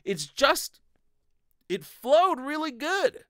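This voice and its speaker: A man in his thirties giving a casual comic monologue as a podcast host, animated, rambling and sweary, close on a desk mic. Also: extremely high pitch, extremely slow, no echo. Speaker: podcast host, improv performer. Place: small bedroom, indoors. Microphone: desk condenser mic with pop filter, close.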